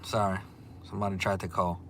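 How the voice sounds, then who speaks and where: A man's voice: low voice, little pitch movement, fast talker, fairly bright tone. A man in his forties with a Louisiana accent, hosting a casual livestream and answering viewer comments in an easygoing way, often repeating himself. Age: 40s. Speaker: livestream host. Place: restaurant. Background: faint restaurant ambience.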